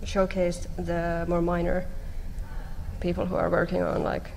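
A woman's voice: lowish voice, little pitch movement, a little slow, creaky, a little mellow. A young woman talking casually as a panelist on a stage, speaking into a table microphone. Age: young adult. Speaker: panelist, comic creator. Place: conference panel stage in a hall. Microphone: table microphone on a stand. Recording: echoey hall with some background noise.

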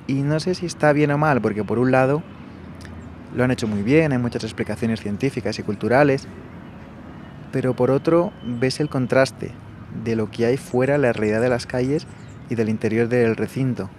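A young man from Spain with a Barcelona Spanish accent, telling his travel diary in a calm, thoughtful and personal way. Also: mid-range voice, normal speed, slight background noise. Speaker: travel vlogger. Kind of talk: reflective travel diary narration. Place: small bedroom in a hostel.

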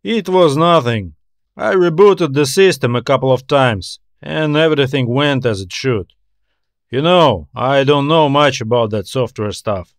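A man voicing a gruff, evasive male space station mechanic in audiobook dialogue, offhand and hesitant. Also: medium pitch, animated, unhurried, no room sound, studio quality.

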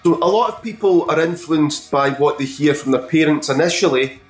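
A male show host asks his guest friendly questions, often stopping and restarting mid-sentence. His voice sits a little higher than usual for a man and has a roomy echo from a video call. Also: soft background music. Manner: friendly, halting questions with fillers. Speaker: podcast host. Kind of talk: interview hosting.

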